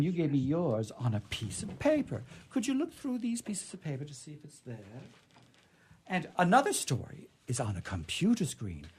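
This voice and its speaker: A man hosting a radio show, reading stories aloud in a theatrical, deliberate, emphatic way with casual asides. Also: on the high side, warm tone, slightly rough.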